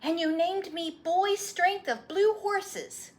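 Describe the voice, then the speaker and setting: A woman's voice: very high-pitched, slow pace, pitched above the performer's own voice. A woman voicing an earnest, eager young boy who asks pleading, insistent questions in a storybook read-aloud. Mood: proud, questioning.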